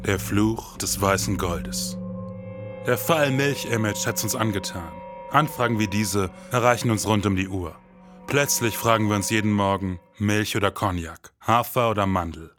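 A man's voice: lowish voice, moderate pace, over music. A man co-hosting an investigative podcast, moving between scripted dialogue and narration.

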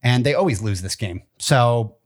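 A man's voice: mid-range voice, animated, moderate pace. A man co-hosting a casual podcast, teasing his partner and talking football in a laid-back way. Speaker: podcast co-host. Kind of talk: casual chat.

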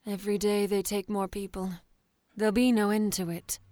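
An actress playing a proud, sharp-tongued woman of colonial New England in a period audio drama, with formal, ornate phrasing. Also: medium pitch, level tone, normal speed, no room sound, close to an everyday voice. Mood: weary, firm. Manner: formal, theatrical, ornate old-fashioned phrasing.

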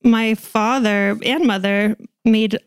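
A woman's voice: on the high side, fairly even intonation, takes it slowly, clean tone, dry recording, clean studio sound. An American woman from the Washington DC suburbs, talking casually and hesitantly as an interview guest. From suburbs of Washington DC, USA. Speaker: podcast guest.

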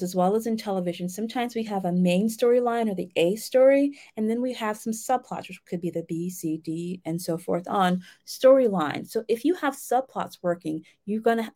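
A woman's voice: mid-range voice, warm tone. A woman giving a casual instructional talk as a writing coach, speaking directly and encouragingly to her listeners in a conversational way.

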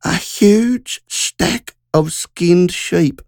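A woman's voice: fairly low pitch, very slow, creaky, a little mellow, dry recording, clean studio sound, voice pushed up, full character voice. A narrator voicing Margie, a shaken country woman who tells a frightening story in an urgent, breathless rush. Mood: frightened.

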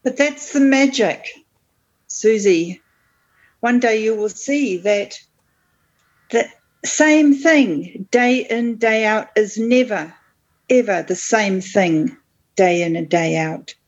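Woman as a slow, portentous mentor witch. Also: mid-range voice, dull audio. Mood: mystical. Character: cryptic, solemn mentor witch professor, mystical. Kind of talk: improvised comedy audio sketch.

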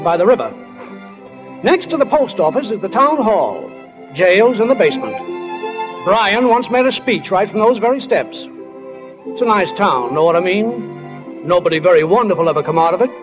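Old muffled 1940s radio, wry folksy small town narrator, kinda high voice. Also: male voice. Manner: plainspoken, drawling folksy wording. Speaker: stage manager narrator.

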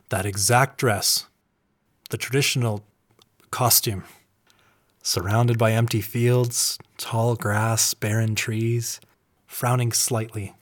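A man plays a self-satisfied husband in an audio drama. His voice is medium in pitch with a husky grain. He is earnest and condescending, and turns halting when challenged. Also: lightly acted. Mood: fond, nostalgic. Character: well-meaning, patronising, self-satisfied husband, easily flustered.

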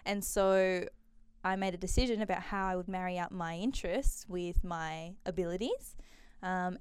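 A woman's voice: on the low side, a little mellow, clear, resonant tone, dry recording. A young woman from Malaysia, chatting as an interview guest, with frequent um and uh fillers. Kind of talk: casual interview conversation.

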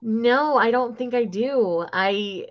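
A woman's voice: fairly high pitch, takes it slowly, dull audio. A woman co-hosting a podcast, talking eagerly about a book she loves. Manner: enthusiastic, opinionated reader. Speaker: podcast co-host. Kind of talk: casual book discussion.

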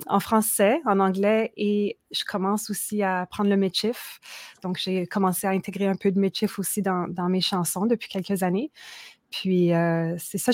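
A woman's voice: medium pitch, no echo. A woman, a guest musician in an interview, speaking thoughtfully with frequent restarts. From Manitoba, Canada. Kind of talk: interview conversation.

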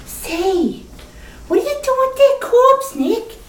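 A woman's voice: very high-pitched, big pitch swings, slow pace, far from the mic, heavily acted. A woman playing a brash, streetwise young woman sidekick in a retro radio drama, with slangy wisecracks. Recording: echoey room, from off mic.

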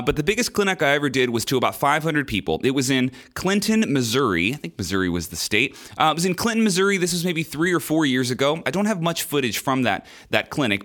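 A man talks on a podcast with a nasal, stuffed-up sound from a sinus infection he is getting over. His speech is candid and chatty, full of fillers and repeated words, in a voice of ordinary pitch. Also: fairly bright tone. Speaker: podcast host and drummer. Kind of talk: casual podcast monologue.

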